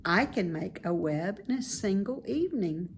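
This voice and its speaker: A woman playing a wise, calm, self-assured female spider in a children's storybook, speaking in a measured, instructive way. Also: mid-range voice, slight background noise, natural voice. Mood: consoling. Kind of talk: children's storybook read-aloud.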